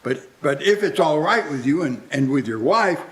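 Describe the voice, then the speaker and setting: A man acts an old miser in a live radio play. The voice sits a little high, with halting, stammered starts and formal, old-fashioned wording. The character is newly humbled and eager. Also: lively intonation, fairly bright tone, rough recording. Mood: hopeful, sheepish. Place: live community theatre stage.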